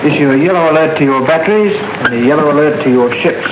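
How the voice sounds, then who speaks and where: A man's voice: a little high, quick pace, lightly acted. A man playing a senior air force officer in a 1940s training film, issuing terse, authoritative orders. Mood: commanding. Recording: very noisy, echoey old film soundtrack.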